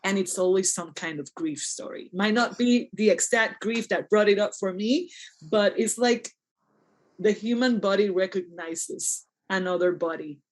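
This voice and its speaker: A woman's voice: mid-range voice, measured pace. A woman dancer and choreographer, a podcast guest, speaking thoughtfully in bursts and laughing often. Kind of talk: reflective interview conversation.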